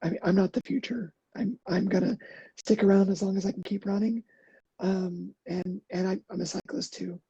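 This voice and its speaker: A middle-aged woman talking earnestly in an interview, halting with many fillers and restarts, a little hoarse. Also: medium pitch, steady tone, dark tone, no room sound, muffled recording. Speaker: podcast guest, endurance athlete. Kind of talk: casual interview talk.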